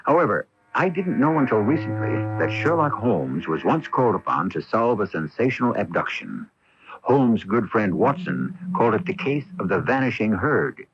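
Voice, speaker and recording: Casting: male mystery-theater host, lowish voice, lively intonation, scripted introductions, dull old broadcast. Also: normal speed, slightly rough. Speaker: host introducing a radio play. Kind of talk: scripted dramatic narration. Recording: dull vintage radio broadcast.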